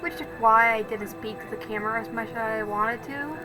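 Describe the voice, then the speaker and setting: A woman's voice: a little high, fairly quick, rough recording. A woman doing casual voice-over narration for a craft video, rambling and correcting herself as she goes. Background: music.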